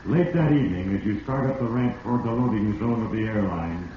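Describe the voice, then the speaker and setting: An actor playing Fate, a grave all-knowing narrator passing stern judgment in a solemn, portentous 1940s radio mystery style. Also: male voice, fairly low pitch, a little fast, recorded from a distance, close to an everyday voice. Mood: ominous. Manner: solemn, portentous second-person address. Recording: echoing, muffled, noisy vintage radio recording.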